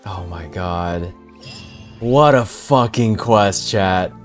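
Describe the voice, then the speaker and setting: A man's voice: low voice, extremely slow, soft background music. A man reacting casually to a video game on a livestream, slangy and sweary, with an awestruck manner.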